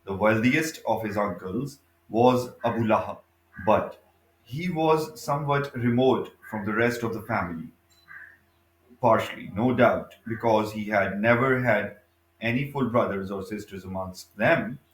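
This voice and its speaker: A man reading a biography aloud as scripted narration, in a halting way, often stumbling and correcting himself. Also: on the low side. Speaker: audiobook reader. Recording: echoey, reverberant room.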